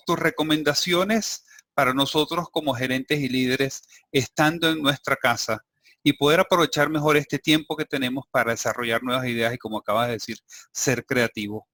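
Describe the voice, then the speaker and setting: A man's voice: medium pitch, steady tone, moderate pace, bright, forceful tone, no echo. A man hosting a webinar interview, putting questions to his guest. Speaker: interview host.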